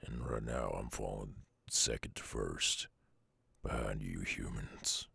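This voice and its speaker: Gravelly, extremely deep Southern werewolf. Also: male voice, sharp tone, dry recording, heavily acted. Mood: grim, brooding. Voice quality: very gravelly, husky. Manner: slow, drawling, murmured. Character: brooding gruff male Southern werewolf, weary loner. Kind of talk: ASMR audio roleplay.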